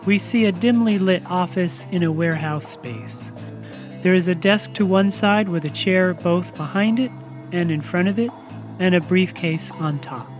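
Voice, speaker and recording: Radio play narrator: man with a fairly high, projected voice, deliberate and presentational, over prominent music. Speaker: announcer and narrator. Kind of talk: scripted radio theatre narration.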